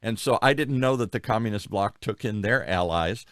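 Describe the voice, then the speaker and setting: A man's voice: fairly low pitch, moderate pace, fairly bright tone, a little hiss or hum. An American man hosting an interview, asking long, rambling questions with frequent restarts. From United States. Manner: rambling, thinks aloud with many restarts. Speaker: podcast host. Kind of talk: conversational interview.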